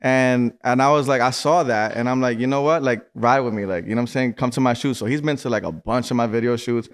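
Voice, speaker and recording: A young man from New York hosting a casual podcast chat, talking loosely with quick stuttering restarts and plenty of swearing. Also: medium pitch, smooth. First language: Spanish. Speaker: podcast host.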